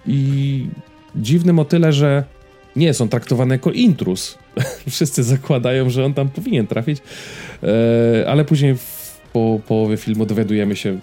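A man talks in a soft, dark, relaxed voice of average pitch. He reviews casually, feeling for the next word and seeking agreement as he goes. Faint music plays underneath. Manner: hesitant, searching for words, checking in with listener. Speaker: podcast co-host reviewing films. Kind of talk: casual conversational film review.

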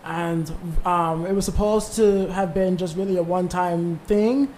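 A man's voice: high-pitched, a little slow, darkish tone, slight background noise, low-quality recording. Easygoing young man in his twenties. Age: 20s. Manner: easygoing, rambling storyteller with fillers. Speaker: band leader and vocalist. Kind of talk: casual interview chat.

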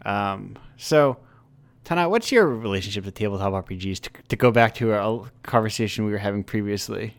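A young male podcast host with a fairly low voice leads a relaxed conversation over a call. He talks easily and at length, circling around his questions in a chatty, informal way. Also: brisk. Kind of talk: casual chat over a call.

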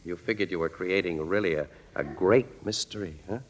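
A man's voice: low-pitched, a little fast, slight background noise, close to an everyday voice. An actor playing a shrewd young crime-solving newspaperman in an old-time radio drama, wry and probing, with hesitant phrasing. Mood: accusing, assured.

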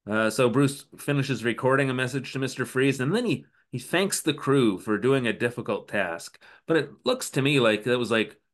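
A man's voice: mid-range voice, lively intonation, normal speed, few pauses. A man co-hosting a podcast, recapping an old TV episode in casual, sarcastic chat. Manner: sarcastic, recapping scenes with quoted asides. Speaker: podcast co-host.

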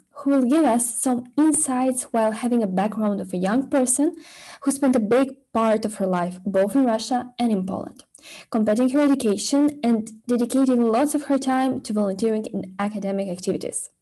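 A woman's voice: fairly high pitch, mellow, poor audio. A young woman hosting a podcast interview, reading a scripted intro and then talking more loosely with fillers. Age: young adult. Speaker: podcast host.

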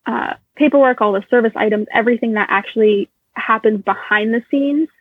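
A woman's voice: on the high side, fairly quick, husky, no room sound. A woman in her twenties, a native Russian speaker, answering interview questions thoughtfully with small fillers. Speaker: interview guest. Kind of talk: casual interview conversation. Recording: tinny phone line.

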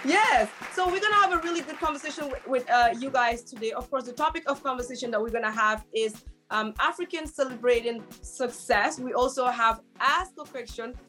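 A woman hosting a casual talk show with lively, teasing, playful banter. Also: sits high, fairly quick, faint music behind, a faint crowd.

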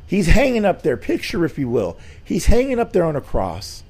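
A slightly hoarse middle-aged man hosting a weekly church show, giving an earnest, informal Bible lesson with many fillers and restarts. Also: a little high, huge pitch swings, normal speed, darkish tone. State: slightly hoarse, losing his voice.

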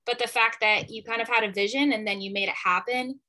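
A woman's voice: on the high side, steady tone, fairly quick, sharp tone, low-quality recording. A young woman hosting a casual interview, chatty and enthusiastic, with plenty of likes and you knows. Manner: enthusiastic, chatty, many fillers. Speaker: podcast host, former athlete.

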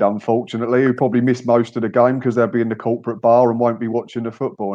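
A man's voice: fairly low pitch, warm tone. A man co-presenting a football podcast with wry, dry opinions. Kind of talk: casual football chat. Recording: dull lo-fi audio.

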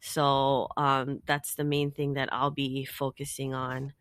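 Young co-host, smooth resonant voice, dry close sound, supportive and reflective. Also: mid-range voice, monotone, takes it slowly, a bit bright, no echo. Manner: supportive, reflective, uses many fillers. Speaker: podcast co-host. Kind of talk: casual chat.

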